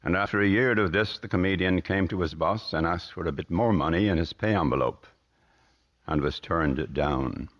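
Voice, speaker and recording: An actor playing a gloomy car salesman in a radio drama, speaking in a formal, deadpan, sardonic and measured way. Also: low voice, highs cut off. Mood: dry, measured. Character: tall, gloomy, curt male car salesman, dryly superior.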